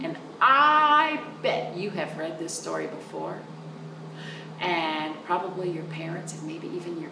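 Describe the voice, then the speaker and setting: A woman hosting storytime for young children, reading aloud in an animated sing-song way with chatty asides to her listeners. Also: medium pitch. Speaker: children's storytime host at a library. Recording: roomy, distant microphone with noticeable background noise.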